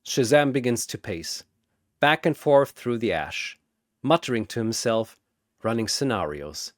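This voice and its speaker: A man's voice: mid-range voice, animated, unhurried, no echo, clean studio sound. A man reading scripted fantasy battle narration in a dramatic announcer style.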